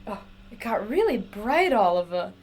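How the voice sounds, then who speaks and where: A woman playing an excitable, scheming inventor in an audio drama, theatrically talking to herself as her moods swing. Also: medium pitch, moderate pace, a little hiss or hum, lightly acted. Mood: chatty, exasperated.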